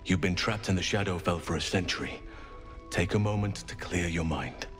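An actor playing a solemn, earnest old elven druid in a video game, speaking in a grave, measured, formal way. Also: male voice, low-pitched, music in the background, close to an everyday voice. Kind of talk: video game character acting.

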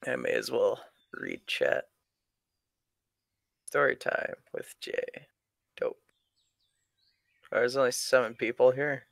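A man's voice: mid-range voice, huge pitch swings, slow pace, creaky, long pauses, a bit bright, no echo, studio quality. A man casually telling stories to friends and a live chat as a streamer, crude and swearing heavily.

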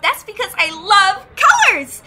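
A woman's voice: extremely high pitch, sing-song, measured pace, heavy background noise, full character voice. A woman playing a hyperactive, bubbly young graphic artist in an anime-style fan audio drama, gushing and giggly. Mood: cheery. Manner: gushing, giggly, squealing exclamations.

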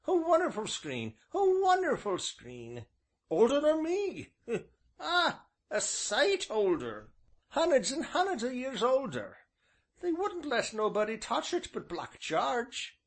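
A man's voice: very high voice, huge pitch swings, slow, pitched above the performer's own voice, full character voice. A man voicing a gossipy old village elder with a drawling, quavering rural southern English dialect. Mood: wistful, musing.

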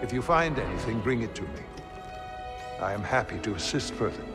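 An older man playing a courteous, learned Jedi scholar in a video game, speaking in a measured, formal, gently wry way. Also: fairly low pitch, animated, lightly acted. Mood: helpful. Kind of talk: video game cutscene acting. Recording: reverberant game scene with music. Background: cinematic music.

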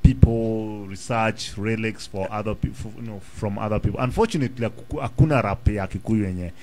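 A man in his thirties with a Kenyan accent, talking as a guest rapper in a casual radio studio interview. He speaks in an animated way, often restarting his sentences, close to a studio mic on a boom arm in a small room. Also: a little fast, faint music behind, poor audio. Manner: animated, mixes English and Swahili slang, many restarts.

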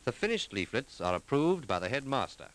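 A man reading scripted narration for a 1940s documentary film in a measured, formal, articulate manner. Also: medium pitch, sing-song. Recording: 1940s film soundtrack with music.

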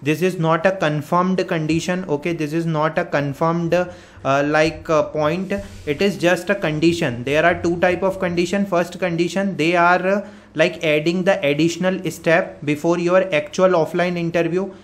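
A man's voice: fairly high pitch, fairly even intonation, normal speed. A man with an Indian accent giving an informal explanatory update as a career coach, repeating his points step by step. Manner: repetitive, step-by-step explaining with frequent check words. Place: voice-over on a screen recording.

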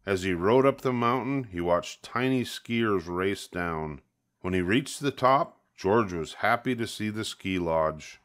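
Older guy reading a kids picture book, really low voice, takes his time, expressive. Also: a little slow. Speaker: storybook reader. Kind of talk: scripted narration of a children's picture book.

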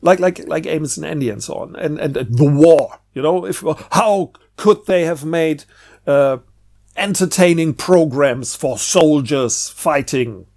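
A man giving a casual, rambling book review in a halting way, often repeating words. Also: fairly high pitch, a little mellow.

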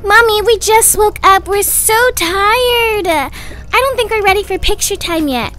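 A woman voicing an excitable, squabbling little child getting ready for school in a roleplay story. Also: extremely high pitch, unhurried, voice pushed up, heavily acted. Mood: whiny.

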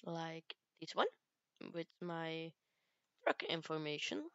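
Casting note: teen girl, low and unhurried, halting English, smooth dry close-mic sound. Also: dry recording, dull audio. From Belgium. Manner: halting, searches for English words. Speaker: gaming youtuber. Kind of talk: casual gameplay commentary.

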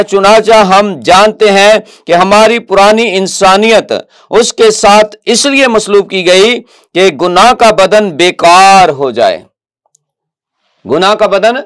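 A middle-aged man with a Pakistani Urdu accent delivers an earnest sermon, often stopping to ask his listeners questions. His voice sits fairly high for a man. He speaks into a lapel mic in a television studio. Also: fairly bright tone. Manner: earnest preaching with rhetorical questions, repeated "my dear ones". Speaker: pastor. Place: TV news studio, indoors.

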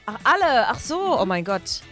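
A woman's voice: a little high, big pitch swings, normal speed, fairly bright tone, soft background music. A young woman chatting casually while playing a game, flustered and exclamatory. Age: young adult. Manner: flustered, exclamatory, drops in English phrases. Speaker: player in a group game video. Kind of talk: casual gameplay chat.

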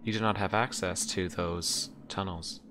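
A man voicing a terse, impassive ship's computer in a tabletop game, speaking formally and flatly. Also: deep voice, a little slow, slight background noise. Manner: flat, formal, withholding.

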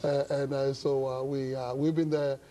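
Mellow-voiced Ghanaian man, middle-aged. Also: medium pitch, flat delivery, normal speed. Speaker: interview guest, pastor. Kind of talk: studio interview, measured explanation. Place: indoor television studio set. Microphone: lapel mic.

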